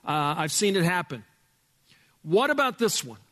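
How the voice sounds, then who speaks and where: A male pastor with a loud voice preaching a sermon in a church, emphatic, dropping from booming to hushed. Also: big pitch swings, moderate pace, in a large hall. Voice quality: loud speaking voice. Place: church sanctuary with sound board.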